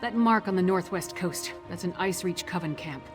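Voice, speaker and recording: A woman's voice: lowish voice, normal speed, close to an everyday voice. A woman playing a confident, no-nonsense warrior and tactician in a fantasy video game, speaking in a blunt, assured way. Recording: prominent game music behind the voice.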